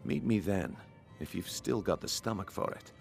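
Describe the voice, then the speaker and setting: An actor playing a shady, smooth-talking market swindler in a fantasy video game, sly, confident and needling. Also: male voice, low voice, moderate pace, soft background music. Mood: sly, businesslike.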